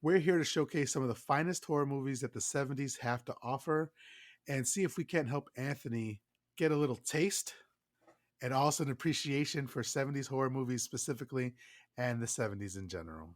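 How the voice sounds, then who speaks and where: A man's voice: mid-range voice, brisk. A man with a stuffed-up, nasal voice co-hosting a podcast in casual chat, enthusiastic and swearing freely. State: stuffed-up nose. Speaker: podcast co-host.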